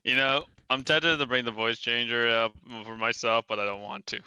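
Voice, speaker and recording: A man's voice: mid-range voice, normal speed, pushed and bright, clear, resonant tone, no echo, poor audio. A man chatting casually as a player in a tabletop role-playing game. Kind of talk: casual chat.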